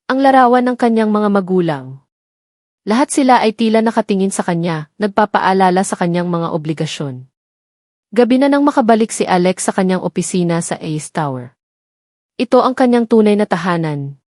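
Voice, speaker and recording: A Filipino woman narrates a dramatic scripted story. Her voice sits at a middle pitch for a woman. The sound is close and dry, with very little room in it. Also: darkish tone, no echo.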